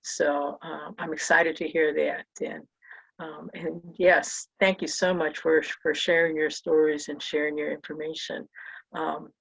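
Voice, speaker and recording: A woman's voice: low voice, little pitch movement, measured pace, gravelly, fairly bright tone, husky, rough recording. A woman from Hawaii, a workshop participant, offering grateful comments on a video call.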